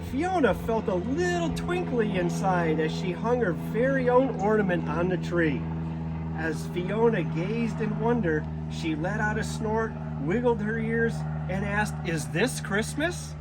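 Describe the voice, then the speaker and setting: A man reading a children's storybook aloud in a deliberate manner, occasionally stumbling over a word. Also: sits high, expressive, very noisy. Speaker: zoo director reading a storybook.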